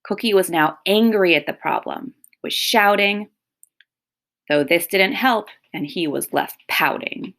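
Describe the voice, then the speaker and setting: A woman reading a children's picture book aloud expressively, pausing to comment and explain to young listeners. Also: fairly low pitch, creaky, a bit bright.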